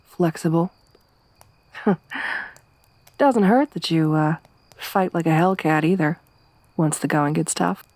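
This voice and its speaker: Woman acting tough survivor girl, soft-spoken, gruff and teasing, flat delivery, lowish voice, ASMR roleplay. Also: no echo. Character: tough, wry young woman wasteland survivor.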